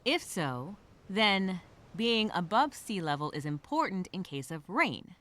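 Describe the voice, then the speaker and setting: Woman acting a bright, anxious castaway, animated voice. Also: mid-range voice, measured pace. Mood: thoughtful, subdued. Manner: rambling, nervous, self-questioning, sarcastic asides. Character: anxious stranded woman castaway journaling, nervously self-reassuring, wry. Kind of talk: first-person dramatic monologue as spoken journal.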